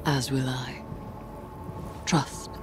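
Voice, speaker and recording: An actress playing a grim, brooding mystic bent on vengeance in a video game, solemn and formal, often half-whispering. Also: female voice, rumbling low, fairly even intonation, drawn-out words, background noise, exaggerated voice. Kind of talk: dramatic video game dialogue.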